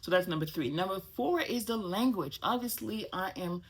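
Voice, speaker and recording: A woman's voice: mid-range voice, lively intonation, moderate pace, breathy edge. A middle-aged woman, a native French speaker talking in English, chatting casually to camera as a vlogger and often checking in with "okay?" and "right?".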